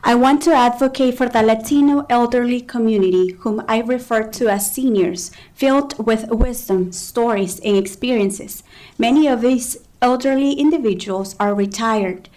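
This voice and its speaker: A woman interprets consecutively at a council meeting, steady and formal with the odd "uh". Her voice sits a little above average in pitch. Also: moderate pace, low-quality recording. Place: county council chamber.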